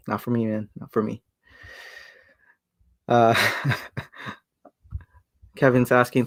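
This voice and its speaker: Man from Sri Lanka, livestream host, casual and hesitant, full of fillers and restarts, mid pitch. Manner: hesitant, many fillers and restarts, chuckles often. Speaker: livestream host reading chat.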